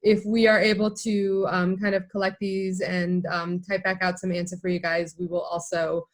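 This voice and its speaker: A woman's voice: lowish voice, level tone, moderate pace. A woman hosting an interview, friendly and hesitant, with many filler words. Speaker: interview host. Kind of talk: casual interview chat.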